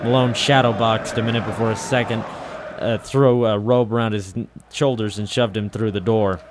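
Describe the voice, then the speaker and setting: An actor playing a world-weary American private eye in 1940s radio noir, clipped, sardonic and deadpan. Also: male voice, on the low side, moderate pace, a little hiss or hum, close to an everyday voice. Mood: cynical narration. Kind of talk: 1940s radio noir acting and first-person narration.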